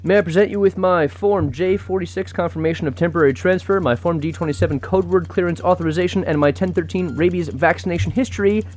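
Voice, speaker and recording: A man's voice: high voice, quick pace, few pauses, a little mellow. A man playing a fussy, nervous, by-the-book federal agent in an audio comedy drama. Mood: officious. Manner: officious, anxious.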